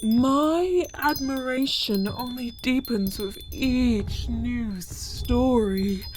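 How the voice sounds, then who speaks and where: A woman playing an eerie, devoted collector in an audio drama, delivering a measured, ominous and grandiose monologue. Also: on the high side, darkish tone, a little hiss or hum. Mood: reverent, ominous. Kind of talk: theatrical scripted monologue.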